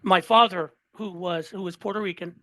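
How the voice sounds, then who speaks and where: A man's voice: sits high, steady tone, moderate pace, pushed and bright, no room sound. Fired-up guest in his sixties, talks in bursts and restarts, dry close sound. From Southern California. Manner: fired up, repeats and restarts words. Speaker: listener guest. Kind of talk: casual political chat.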